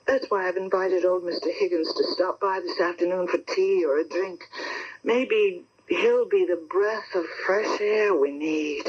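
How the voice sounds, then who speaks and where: A woman's voice: lowish voice, unhurried, husky. An actress playing an anxious, plain-spoken wife unsettled by her husband's moods, acting and narrating in a 1970s radio drama. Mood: polite, wistful. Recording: dull lo-fi 1979 radio broadcast.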